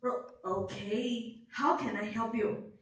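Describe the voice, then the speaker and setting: A woman's voice: on the high side, fairly even intonation, slow pace. A young woman playing a lazy, grumbling schoolgirl in a student radio play. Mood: reluctant. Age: young adult. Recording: echoey room, distant mic.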